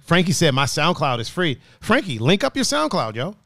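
A man hosting a relaxed podcast, his voice sitting a little high as he jokes around in slang. The sound is close and dry, with no room around it. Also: normal speed, gravelly, no echo. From another country, raised partly on the East Coast. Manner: animated, laughing, slangy and playful. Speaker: podcast host. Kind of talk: casual chat.

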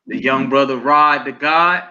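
Bright-voiced male co-host on a dull line. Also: medium pitch, a little slow. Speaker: podcast co-host. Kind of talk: casual podcast chat.

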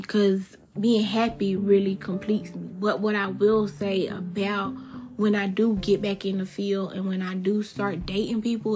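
A thirty-year-old woman hosting her own podcast, talking casually and emphatically and repeating her points for effect. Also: medium pitch. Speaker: podcast host. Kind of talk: casual solo podcast talk.

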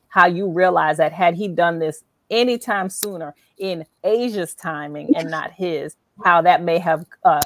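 Low-pitched woman host with a creaky voice. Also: a bit bright, no echo. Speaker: show host and interviewer. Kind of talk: conversational interview, reading aloud from a book.

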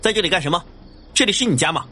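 A man playing a brash, suspicious police officer on night patrol in an audio drama, brisk and questioning. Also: sits high, expressive, pushed and bright, slightly rough, exaggerated voice. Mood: stern, suspicious.

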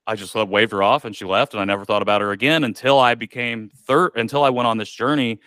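A man's voice: mid-range voice, fairly bright tone, smooth, no echo. A man, a podcast guest telling a candid personal story in a reflective way, with many likes and ums. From United States.

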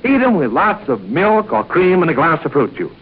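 A male announcer from 1940s radio delivers a commercial on a dull old recording. His voice sits high and moves in big, lively swings. He talks straight to young listeners with an emphatic salesman's push. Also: moderate pace, a little hiss or hum.

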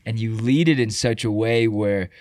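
A man hosts a casual interview in a slightly low voice, recorded close and dry. He is effusive and informal, looping back over his words as he works toward each question. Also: fairly even intonation, normal speed, a little mellow, dry recording. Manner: effusive, informal, repeats himself as he builds questions. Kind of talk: casual chat.

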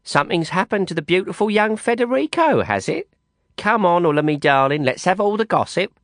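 A man's voice: high voice, expressive, brisk, no room sound, clean studio sound, pitched above the performer's own voice. A man doing a cheeky South London woman. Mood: coaxing. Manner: cheeky, ironic. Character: bold, teasing young woman, self-assured.

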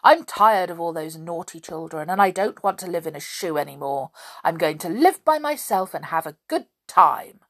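A woman's voice: on the low side, animated, normal speed, fairly bright tone. A woman voicing a cross, haughty old woman in a children's storybook, snappish and scornful.

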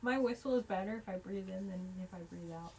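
A woman co-hosting a casual podcast, teasing her friend and tossing out quick jokey comebacks. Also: medium pitch, background noise. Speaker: podcast co-host. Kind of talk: casual chat.

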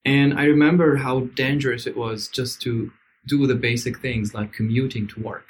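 A man's voice: medium pitch, steady tone, normal speed. A man from Syria, a podcast guest, telling his story in a reflective, hesitant way with frequent fillers, in a hotel room. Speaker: interview guest. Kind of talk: conversational storytelling.

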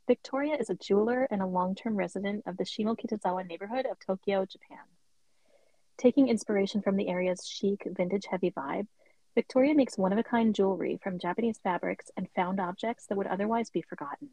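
A woman's voice: mid-range voice, fast, mellow, no echo, rough recording. A woman hosting a podcast, reading a guest introduction. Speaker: podcast host. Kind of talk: scripted introduction, then casual chat.

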